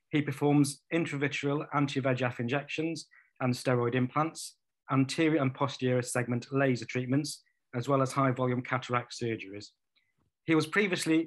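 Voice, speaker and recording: A man hosting a webinar, going through the housekeeping notes in a plain, methodical way over a computer microphone. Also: mid-range voice. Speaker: webinar host. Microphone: computer mic on a video call.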